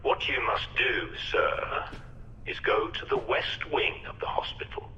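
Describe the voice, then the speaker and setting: Game villain: polite mad doctor, low, breathy and theatrical, swooping phrases, verbose menace. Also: male voice, very animated, a little slow, sharp tone, echoey room, in a large hall, lo-fi sound, slight background noise, exaggerated voice. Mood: sly, condescending. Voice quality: breathy, whispery. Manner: mannered, verbose, mockingly formal. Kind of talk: theatrical video game voice acting.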